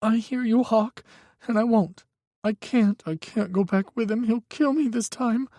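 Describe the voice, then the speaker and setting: A man's voice: high voice, measured pace, warm tone, no room sound, clean studio sound, pitched above the performer's own voice. A man voicing a timid, frightened young man who sounds tearful and shaky. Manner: trembling, tearful.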